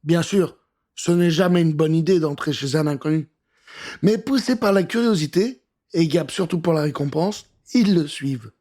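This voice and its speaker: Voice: medium pitch, moderate pace, darkish tone. A storyteller reading a scripted children's adventure in a theatrical, suspenseful way.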